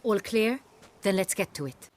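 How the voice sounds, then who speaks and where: An actress playing a commanding, self-assured young aristocratic woman, speaking in a brisk, formal, imperious way. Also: medium pitch, lively intonation, natural voice. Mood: instructing.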